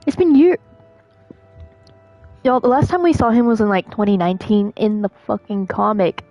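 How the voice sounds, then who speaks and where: A woman's voice: medium pitch, animated, moderate pace, no room sound, lo-fi sound. A woman livestreamer chatting casually as she comments on a game she is playing. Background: game music.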